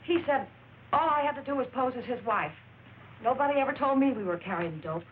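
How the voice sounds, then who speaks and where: Brassy noir gangster's moll, faint hiss. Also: female voice, high-pitched, expressive, a little fast, narrow, tinny audio. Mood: indignant. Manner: tough, sarcastic, ungrammatical slangy talk. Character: brassy, defiant female small-time crook, streetwise moll. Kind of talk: mid-century film noir crime drama acting.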